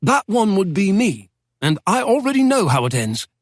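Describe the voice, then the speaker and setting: A man's voice: sits high, big pitch swings, moderate pace, dry recording, studio quality, voice pushed up. A man voicing a genial older male Oxford professor with an English accent, talkative, playful and precise in his diction.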